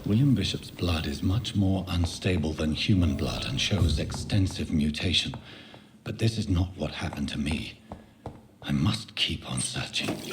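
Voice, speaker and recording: An actor playing a grave, educated doctor in a video game, speaking in a measured, formal and brooding manner. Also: male voice, deep voice, background noise. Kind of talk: dramatic video game voice acting. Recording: game music behind the voice.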